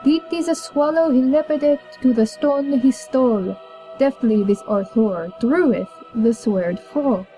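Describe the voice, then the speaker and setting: Slow, mellow young woman storyteller. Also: sits high, low-quality recording. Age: young adult. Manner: measured, declamatory. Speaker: storyteller, college student. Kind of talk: scripted narration of an archaic verse tale. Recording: music under the voice.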